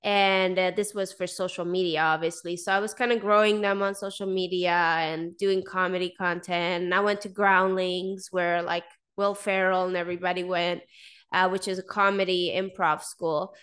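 A woman's voice: lowish voice, little pitch movement, normal speed, a bit bright, smooth. A woman in her thirties, raised in Sweden, talking casually as a podcast guest over a video call, with lots of fillers and a desk microphone close by. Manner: chatty, many fillers and "like". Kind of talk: casual interview talk. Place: small indoor room, video call. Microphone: desk microphone in frame.

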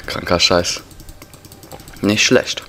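A man's voice: deep voice, flat delivery, very slow, bright, forceful tone. A young man with a cold, casually commentating his gameplay in slang, muttering to himself. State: has a cough and a cold. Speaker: let's player. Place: indoors at a computer, voice over gameplay. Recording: noisy home recording, keyboard typing.

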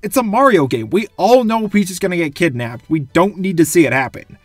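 Animated, high-voiced young man. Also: fairly quick, dry recording, soft background music. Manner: gushing, animated. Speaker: video game reviewer, host. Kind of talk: enthusiastic scripted commentary.

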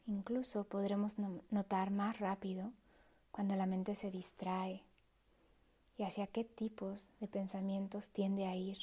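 A woman leading a guided meditation in a soft, hushed, breathy voice with pauses. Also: mid-range voice.

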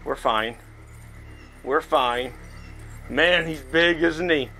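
A man with a fairly high voice gives casual commentary while actively playing a VR game. He chats away and thinks aloud like a host talking to his viewers, with music playing behind him. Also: takes it slowly, pushed and bright. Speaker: gaming video host. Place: indoors, playing in a VR headset in front of a camera.

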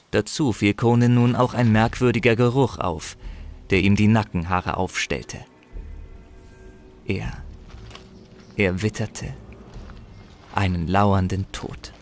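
A man narrating a scripted fantasy story dramatically, with measured, suspenseful phrasing and pauses. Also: fairly low pitch. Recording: slight background noise.

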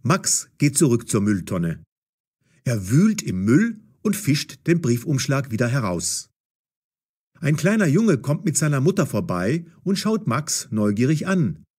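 Slow, animated male story narrator. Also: medium pitch. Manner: deliberate, clearly enunciated, expressive. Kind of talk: scripted story narration for language learners.

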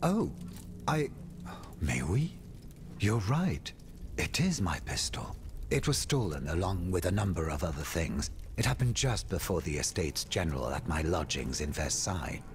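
A man's voice: low voice, sing-song, moderate pace, slight background noise. An actor playing a pompous, silky statesman and schemer in a video game, theatrical, florid and courtly. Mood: dismayed, evasive. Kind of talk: video game cutscene acting.

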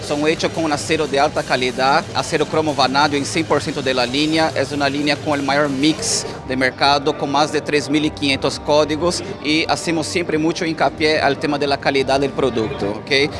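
Casting: male export manager, Brazilian accent, fairly high voice, explaining products in an interview with music behind. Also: fairly even intonation, normal speed, few pauses. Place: trade expo stand. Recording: music under the voice. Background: background music.

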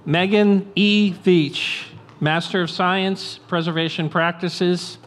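A man formally reading out graduate names and degrees in measured, clear tones at a podium microphone in a large outdoor tent. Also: high-pitched, steady tone. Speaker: name reader at a graduation. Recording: crowded outdoor tent, loud background noise. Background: crowd noise and applause.

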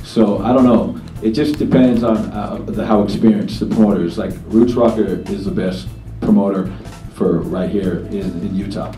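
Deep-voiced man answering panel questions. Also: normal speed, few pauses, echoey room, far from the mic, soft background music. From New Zealand. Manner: relaxed, rambling with fillers. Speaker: reggae band singer on a panel.

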